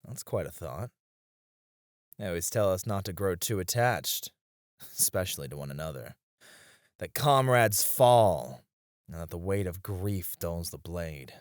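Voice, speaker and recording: Deep, unhurried weary knight played by a man. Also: no room sound, clean studio sound. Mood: tender, musing. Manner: soft, intimate, hesitant with small chuckles. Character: battle-weary male knight, tender, wistful, quietly devoted comrade. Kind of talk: ASMR romance roleplay.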